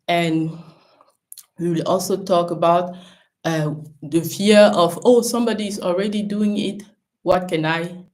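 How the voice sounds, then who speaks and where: A woman from Cameroon speaks as a business coach in a relaxed live stream recorded at home. Her voice sits a little low. She encourages her viewers and thinks aloud, dropping in "um" and "right?" as she goes. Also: poor audio.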